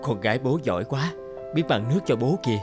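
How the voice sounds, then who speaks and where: Man acting a gentle, doting country father. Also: medium pitch, expressive, over music, lightly acted. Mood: fond, gentle. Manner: gentle, hesitant, halting. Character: doting, humble, middle-aged country father. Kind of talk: cartoon dialogue acting.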